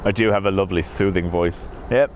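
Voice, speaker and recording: A man's voice: low-pitched, fairly quick. A man hosting a live stream, chatting casually and jokingly while reading out viewer comments on a wireless microphone. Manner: chatty, jokey, self-mocking. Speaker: livestream host. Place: outdoors in a van by an airport. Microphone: wireless microphone with wind filter. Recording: wind noise on an outdoor mic.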